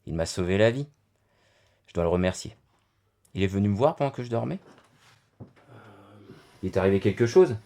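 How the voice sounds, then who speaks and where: A man's voice: lowish voice, lively intonation, normal speed, pauses often, warm tone. A man playing a wounded, tender-hearted Resistance fighter in a French audio drama. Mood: eager, anxious. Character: wounded male Resistance fighter, confused, tender, grieving friend.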